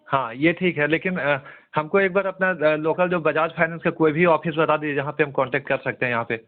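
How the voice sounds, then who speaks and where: A man's voice: on the high side, a little fast. A man from India questioning someone over the telephone as a journalist, hesitant, polite but openly doubting. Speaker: journalist questioning a suspected scammer. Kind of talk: questioning phone conversation. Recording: tinny narrowband phone call recording.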